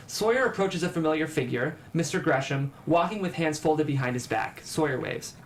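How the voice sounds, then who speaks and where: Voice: medium pitch, expressive, brisk, slight background noise. A narrator reading scene headings and stage directions at a screenplay table read, in scripted narration.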